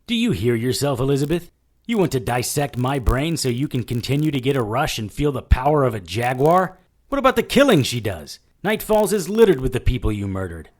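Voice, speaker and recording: An actor playing a wisecracking paranormal detective in an audio drama, with a sardonic, hard-boiled, dramatic delivery. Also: male voice, mid-range voice, very animated, fairly quick. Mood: outraged, incredulous. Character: wisecracking male paranormal detective narrating his own ordeal. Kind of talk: audio drama narration and dialogue.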